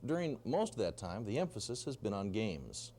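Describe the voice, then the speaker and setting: A man's voice: lowish voice, sing-song, moderate pace, noisy background. A man narrating a scripted TV news report on an archival 1980s broadcast.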